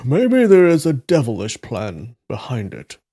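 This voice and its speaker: A man voicing a suspicious, cautious male martial arts master who plots deliberately in a storybook reading. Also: medium pitch, wildly swooping pitch, dark tone, husky, voice pushed up, heavily acted. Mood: suspicious, plotting.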